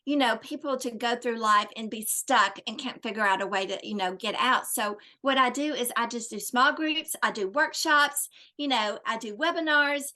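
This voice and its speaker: A woman's voice: fairly high pitch, moderate pace, few pauses, sharp tone. A woman guest who coaches at her church, speaking in an upbeat, motivational way with faith language. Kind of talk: interview chat.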